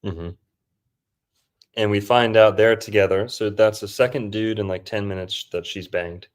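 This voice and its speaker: A man's voice: lowish voice, moderate pace. A man hosting a podcast, casually breaking down a movie in a loose, rambling way with lots of fillers. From Florida. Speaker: podcast host.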